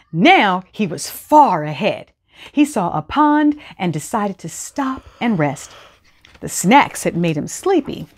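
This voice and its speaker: A middle-aged woman hosting storytime, reading aloud to children in an expressive, deliberate, teacherly way. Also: medium pitch, slightly rough. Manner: animated, deliberate teacherly delivery. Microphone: lapel mic.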